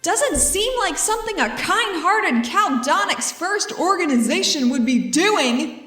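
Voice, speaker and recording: A woman's voice: very high voice, lively intonation, a little slow, sharp tone, reverberant, faint music behind, voice pushed up, exaggerated voice. A woman voicing a defiant action heroine in a tabletop game, calling out in a pointed, projecting way. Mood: taunting, defiant.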